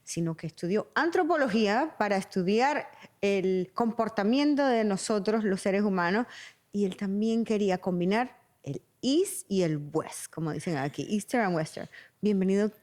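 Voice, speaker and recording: A woman's voice: mid-range voice, lively intonation, normal speed, a little mellow. A woman from Venezuela, hosting a podcast in casual interview conversation. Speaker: podcast host.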